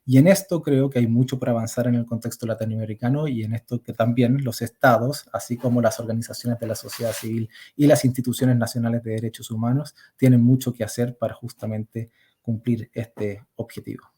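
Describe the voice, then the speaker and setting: A man's voice: medium pitch, fairly even intonation, brisk, mellow, breathy edge. A man speaking as a panelist, in a formal, academic register. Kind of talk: conference presentation.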